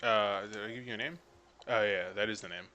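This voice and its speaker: Very bright, sharp-voiced male game master. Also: fairly low pitch, brisk, muffled recording, slight background noise. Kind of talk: casual tabletop game narration.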